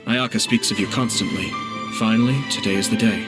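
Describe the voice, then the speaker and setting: An actor playing a polite, composed young male clan head in a video game, speaking in a formal, measured, elegant manner. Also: on the low side, steady tone, lightly acted. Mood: gracious. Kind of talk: video game character acting. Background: game music.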